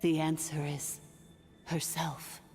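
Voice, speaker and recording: Solemn, mellow ancient dragon sovereign. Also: female voice, sits low, flat delivery, takes it slowly. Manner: solemn, formal, oracular. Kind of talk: scripted video game dialogue. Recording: long cavernous reverb under game music.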